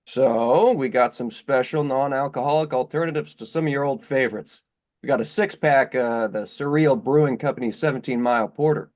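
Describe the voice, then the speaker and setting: An actor playing a blunt, well-meaning young husband in a virtual staged reading of a play. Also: male voice, mid-range voice, a little fast, a little mellow, lightly acted. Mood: blunt, upbeat.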